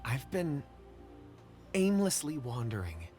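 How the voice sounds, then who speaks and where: A man's voice: medium pitch, sing-song, slow, mellow, soft background music. An actor playing a polite, absent-minded, anxious man in an anime game, speaking hesitantly. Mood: lost, wistful.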